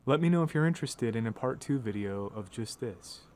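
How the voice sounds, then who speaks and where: A man's voice: mid-range voice, animated, a little fast, a little mellow, slight background noise. A man who works as a recording engineer, casually narrating a hands-on demonstration in a studio, recorded on a phone. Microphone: phone video microphone.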